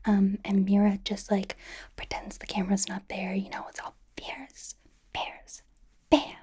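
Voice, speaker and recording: A woman playing a bubbly, anxious, caring young K-pop idol in an ASMR roleplay, with an American accent, a soft breathy whisper and a chatty, rambling, reassuring way of talking. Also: mid-range voice, completely flat delivery, a little slow. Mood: chatty, playful. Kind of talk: ASMR personal attention roleplay.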